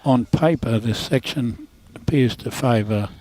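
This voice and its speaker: A man's voice: medium pitch, unhurried, a little mellow, poor audio. A man with an Australian accent giving laid-back live bowls commentary with dry, self-deprecating humour. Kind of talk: relaxed live sports commentary.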